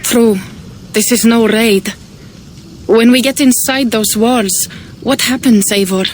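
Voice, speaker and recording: Questioning Viking raider. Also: female voice, on the high side, takes it slowly, darkish tone, a little hiss or hum. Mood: uneasy. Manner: earnest and questioning. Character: troubled female Viking raider. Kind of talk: video game cutscene acting.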